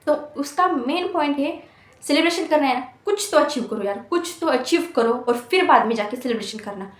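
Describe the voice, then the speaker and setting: A woman's voice: high-pitched, expressive, normal speed, roomy sound. A woman of twenty-one giving an earnest motivational talk to exam students, scolding them with rhetorical questions. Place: hostel room.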